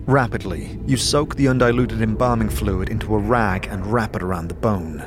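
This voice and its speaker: A man narrating a scripted fantasy audio drama in the second person, dramatic and deliberate. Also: on the low side. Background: music.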